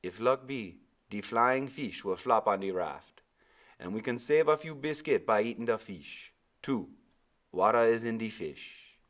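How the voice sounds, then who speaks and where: Man voicing a slow, stubborn old sailor. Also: mid-range voice, lively intonation, fairly bright tone, pitched above the performer's own voice. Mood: stern, urging. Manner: slow, folksy dialect speech. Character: stubborn old Caribbean sailor, wary, quietly firm. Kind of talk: storybook character voice in a read-aloud.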